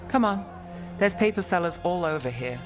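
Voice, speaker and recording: Self-reliant female game detective, woman acting, cool delivery over clearly audible music. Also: on the low side, lively intonation, normal speed, natural voice. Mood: cool, matter-of-fact. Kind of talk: video game dialogue.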